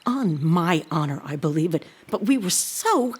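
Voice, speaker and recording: An actress playing a kindly, reflective housekeeper in an audio drama, speaking in a grave, deliberate way. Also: female voice, on the low side, big pitch swings, slightly rough, heavily acted. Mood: sombre.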